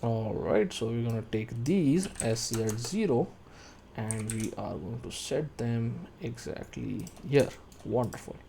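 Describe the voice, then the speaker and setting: A man in his thirties narrating a step-by-step software tutorial, explaining each action as he does it. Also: mid-range voice, lively intonation, a little slow, gravelly, a little hiss or hum. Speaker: tutorial instructor.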